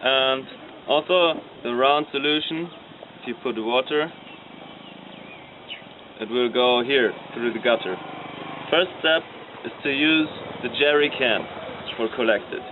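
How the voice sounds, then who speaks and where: A man from Germany talks unhurriedly through a small model, pausing on fillers as he explains each part. His voice sits at an average pitch. The recording is thin and phone-like, with a faint hiss underneath. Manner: plain explanatory, halting with fillers. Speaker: design team member. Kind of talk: demonstrating a model. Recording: tinny lo-fi with faint noise.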